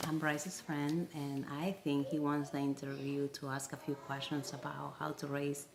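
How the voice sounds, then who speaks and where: A woman's voice: sits low, flat delivery, moderate pace, creaky. A woman from Peru, Spanish her first language, chatting as an interview guest, hesitant with many restarts. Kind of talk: casual interview chat. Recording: noisy background.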